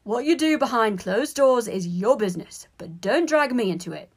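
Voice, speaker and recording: A woman's voice: lowish voice, fairly even intonation, normal speed, a little mellow, husky. A woman voicing a blunt, wary teenage schoolboy sidekick in a story, with a dismissive manner. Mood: snappish.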